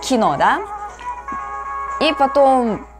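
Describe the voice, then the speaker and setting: Woman, Korean accent, native Korean speaker, slow halting speech, casual reaction vlog, music playing behind. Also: high voice, big pitch swings. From South Korea. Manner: halting, simple phrases in a second language. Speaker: reaction vlogger. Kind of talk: casual reaction commentary to camera. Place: small room at home, indoors. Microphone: lapel mic. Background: film soundtrack music.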